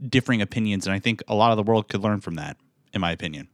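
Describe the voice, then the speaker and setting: A man hosting a podcast interview, asking questions in a halting way with repeated words. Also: lowish voice, no room sound, clean studio sound. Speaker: podcast host.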